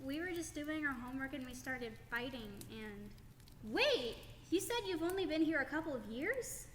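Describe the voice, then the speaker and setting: A woman's voice: high-pitched, expressive, normal speed, fairly bright tone. An actress playing an earnest, bossy big-sister schoolgirl, questioning and emphatic, in a radio play. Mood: puzzled. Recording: echoey hall, faint background noise.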